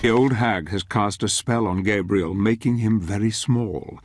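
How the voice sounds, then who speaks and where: A solemn, brooding older storyteller narrates a dark fantasy game. His voice is low and recorded dry and close, with a measured, ominous delivery. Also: dry recording, soft background music. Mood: grave, uneasy. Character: grave, brooding male narrator, wary and ominous companion. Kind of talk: scripted dramatic game narration.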